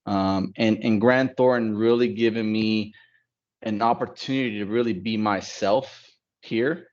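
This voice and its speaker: A man who grew up in Dallas, a partner at an accounting firm, talking in a relaxed, reflective way with many fillers on a video call. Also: fairly low pitch, unhurried. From Dallas, United States. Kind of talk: casual panel talk on a video call. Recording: dull lo-fi call audio.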